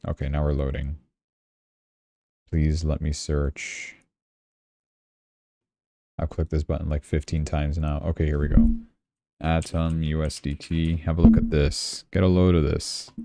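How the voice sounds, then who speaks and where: Very deep-voiced man, casual livestream host. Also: flat delivery, unhurried, creaky, long pauses, dark tone. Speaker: host of a crypto news livestream. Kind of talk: casual live commentary on crypto charts and news.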